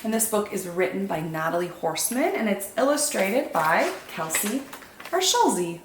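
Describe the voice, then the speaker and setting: Female children's storytime reader, mid pitch, animated and clearly phrased, echoey room with faint noise. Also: moderate pace. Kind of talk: expressive picture-book narration.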